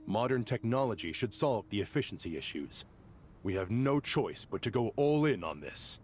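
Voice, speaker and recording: An actor playing a determined, matter-of-fact scholar in a video game, speaking precisely and earnestly. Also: male voice, fairly low pitch, sing-song, moderate pace, darkish tone, a little hiss or hum. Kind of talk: game voice acting.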